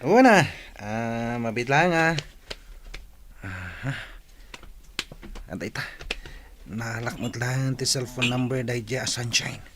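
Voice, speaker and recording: A man's voice: mid-range voice, expressive, slow, pauses often, fairly bright tone, a little hiss or hum. A man playing a cheeky, boastful young village schemer in a radio comedy drama, teasing and exclaiming. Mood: sly, muttering.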